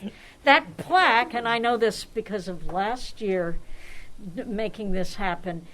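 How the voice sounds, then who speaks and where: A woman's voice: mid-range voice, measured pace, rough recording. A woman speaking informally and chattily with enthusiasm as she presents awards at a club gathering. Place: club luncheon room with people around. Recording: lively room crowd behind the voice.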